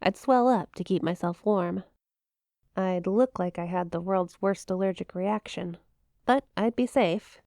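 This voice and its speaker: A woman's voice: on the low side, a little slow, warm tone, no echo, studio quality. A woman playing a shy, playful young eldritch monster classmate in an intimate ASMR roleplay, teasing and hesitant, with a flustered stammer. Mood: casual, explaining. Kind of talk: ASMR roleplay, intimate one-sided conversation.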